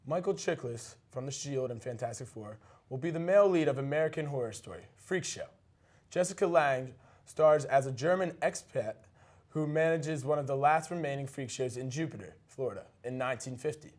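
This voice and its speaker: A man's voice: medium pitch, a little fast, a little mellow. A man co-anchoring a news segment, reading scripted stories with brief casual remarks and occasionally stumbling over a word.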